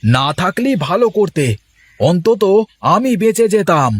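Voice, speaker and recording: A man voicing a poor, excitable village fisherman in a folksy moral-story cartoon. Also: high-pitched, measured pace, husky, no room sound, heavily acted. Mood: resentful.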